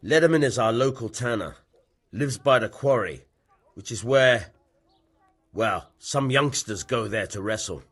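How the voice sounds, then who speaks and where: An actor playing a gruff, dismissive medieval town bailiff in a video game, husky and matter-of-fact. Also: male voice, mid-range voice, animated, a little slow, fairly bright tone, exaggerated voice. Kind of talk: video game dialogue acting.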